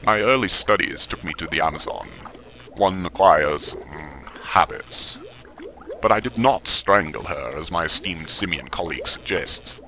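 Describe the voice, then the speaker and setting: An actor playing a sinister, genteel mad doctor with pompous, formal diction in a horror radio parody. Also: male voice, sits low, expressive, a little slow, pushed and bright, full character voice, poor audio. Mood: pompous, unruffled.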